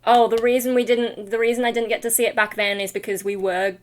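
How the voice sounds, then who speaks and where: A woman's voice: on the high side, little pitch movement, fast talker. Tired woman rambling casually to her viewers. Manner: scattered, self-deprecating, informal. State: tired, not fully awake. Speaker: vlogger and metal vocalist. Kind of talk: casual rambling vlog chat.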